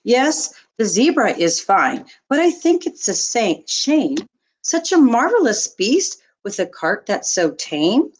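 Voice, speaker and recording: A woman reading a rhyming children's picture book aloud like a teacher, expressively and deliberately, as a storyteller would to young listeners. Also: a little high, sing-song.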